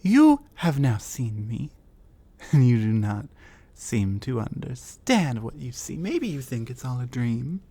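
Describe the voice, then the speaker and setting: Woman doing a big cold troll lady guarding her cave, curt and bossy, talks real slow. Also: extremely low pitch, sing-song, dark tone, slightly rough, dry recording, full character voice. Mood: cold, mocking. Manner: curt, scornful, commanding. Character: territorial female troll, huge, reclusive, cold. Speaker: voice actor. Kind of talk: ASMR audio roleplay.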